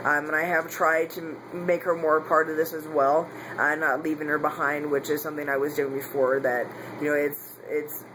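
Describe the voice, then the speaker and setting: A man speaks in a candid, confessional vlog, his voice sitting a little higher than most men's. He rambles, doubles back and corrects himself mid-sentence. A faint background hum sits under his voice. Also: level tone, normal speed, hardly any pauses. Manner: rambling, frequent restarts and self-corrections. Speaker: vlogger. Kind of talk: casual personal vlog.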